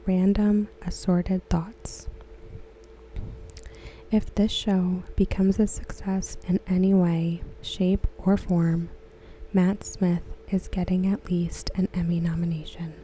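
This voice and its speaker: A woman reading aloud softly for ASMR, gentle and hushed, with a breathy voice that often slips into a whisper. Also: on the low side, flat delivery, slow pace, a little hiss or hum, rough recording. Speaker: ASMR host. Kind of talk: ASMR, soft-spoken reading of discussion comments.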